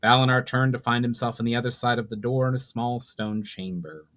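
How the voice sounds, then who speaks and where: A man's voice: medium pitch, steady tone, brisk, fairly bright tone. A man reading his own fantasy book as a dramatic audiobook narration.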